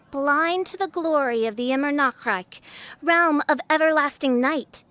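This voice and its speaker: A woman's voice: high-pitched, slow pace, sharp tone, heavily acted. A woman voicing a grandiose young self-styled princess in a video game, speaking in archaic, dramatic phrases. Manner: archaic, pompous, dramatic speech. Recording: game audio with faint music.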